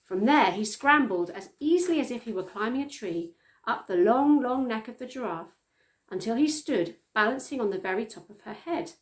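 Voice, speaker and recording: A woman's voice: on the high side, moderate pace, low-quality recording. Woman reading a children's story aloud. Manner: expressive storytelling. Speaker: storytime reader. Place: small plain room indoors, on a sofa.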